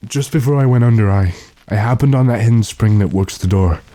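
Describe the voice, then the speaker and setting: A man playing an earnest, determined gentleman who gives commands dramatically, in the manner of an old radio drama. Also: on the low side, fairly quick, no room sound, close to an everyday voice. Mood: relieved. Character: urgent, determined gentleman trapped with his manservant.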